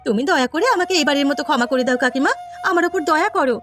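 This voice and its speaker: A woman's voice: high voice, rapid-fire, music in the background, lightly acted. A woman voicing a poor, earnest young village housemaid in a cartoon story, with a plaintive, imploring manner. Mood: pleading. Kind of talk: Bengali cartoon story voice acting.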